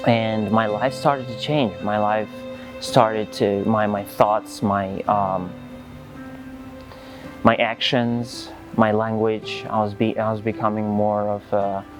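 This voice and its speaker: A young man from Kosovo with an Albanian accent, telling his personal church testimony in an earnest, halting way. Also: on the low side, fairly even intonation. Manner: earnest, halting, many fillers and restarts. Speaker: church leader giving testimony. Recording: music playing behind.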